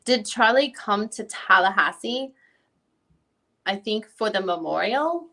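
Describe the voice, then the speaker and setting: Woman as probing lawyer, bright forceful voice. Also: mid-range voice, animated, a little slow, heavily acted. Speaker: YouTuber reading the questioning lawyer's part. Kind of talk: dramatic reading of legal questioning.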